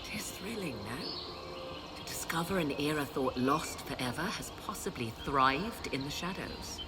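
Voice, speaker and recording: An actress playing a poised, learned and haughty sorceress in a video game, speaking in a measured, formal, theatrical way. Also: female voice, sits low, lively intonation, noisy background. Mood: arch, musing. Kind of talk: video game dialogue acting. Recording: game music behind the voice.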